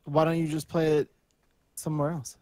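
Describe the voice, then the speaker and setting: A man with a somewhat higher-than-average voice, recorded very dry. He co-hosts a podcast, switching between reading news aloud and loose, joking chat. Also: level tone, moderate pace, warm tone, no room sound. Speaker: podcast co-host.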